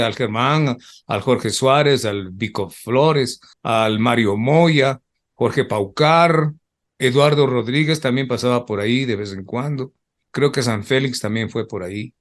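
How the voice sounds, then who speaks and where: An older man from Ecuador, a former radio announcer reminiscing in an interview and rambling on with repeated asides. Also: medium pitch.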